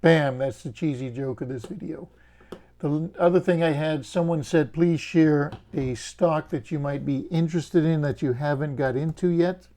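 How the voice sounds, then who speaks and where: A middle-aged man from the Bahamas with a Bahamian accent, casually walking through his trades and explaining his rules in a plain, steady way. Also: a little high, moderate pace. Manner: plain, steady explaining with fillers and folksy jokes. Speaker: options trading channel host. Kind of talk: casual screen-share walkthrough of trades.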